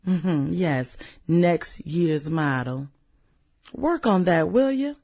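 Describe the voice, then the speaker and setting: An actress plays a manipulative, sultry businesswoman in a satirical radio drama. Her voice is husky and breathy, with a slow, drawling pace. She is theatrical and coquettish, and her pitch slides up and down freely. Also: lively intonation, warm tone, heavily acted. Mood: flirty, teasing. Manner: theatrical, coquettish, drawn-out exclamations and giggles. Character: sultry, demanding, manipulative businesswoman juggling rich lovers.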